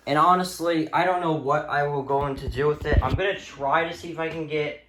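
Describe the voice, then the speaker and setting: Casual hobby vlogger, male, slightly high voice, rambling rants with stammers and drawn-out emphatic words. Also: fairly even intonation, fairly bright tone. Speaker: hobbyist video creator showing a radio collection. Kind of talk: casual unscripted vlog rant.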